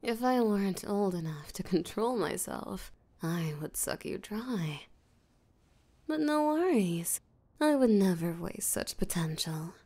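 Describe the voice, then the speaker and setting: Woman doing a flirty menacing vampire lady for roleplay audio, possessive n teasing, breathy and whispery, lots of vocal fry, really unhurried. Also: medium pitch, warm tone. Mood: hungry, menacing. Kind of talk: roleplay audio drama, intimate acting.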